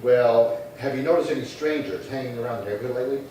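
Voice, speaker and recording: Radio drama role: actor as clipped, businesslike male detective, mid-pitched, heard far off in a reverberant hall. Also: fast, natural voice. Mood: polite, probing. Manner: deadpan, clipped, procedural. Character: matter-of-fact male police detective sergeant, terse and polite. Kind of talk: 1950s radio police drama narration and dialogue. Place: live theatre stage reading. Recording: echoey hall, distant mic, faint noise.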